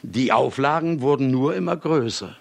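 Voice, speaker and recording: Man acting a grandiose gravelly old writer. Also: mid-range voice, moderate pace, close to an everyday voice. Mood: boastful, commanding. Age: older. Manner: grandiose, cynical, declamatory. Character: boastful ageing famous male writer confessing to murders. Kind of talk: theatrical German radio play monologue.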